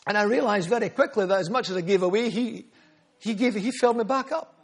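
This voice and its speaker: Male preacher, high-pitched, earnest storyteller. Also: fast. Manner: earnest, storytelling, repeats phrases for emphasis. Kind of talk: sermon.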